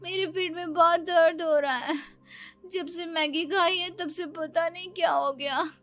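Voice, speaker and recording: A woman voicing a distressed, pleading young pregnant daughter-in-law in a cartoon story, whiny and drawn-out. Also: very high-pitched, steady tone, a bit bright, faint music behind, heavily acted. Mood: crying in pain. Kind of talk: Hindi animated moral story acting.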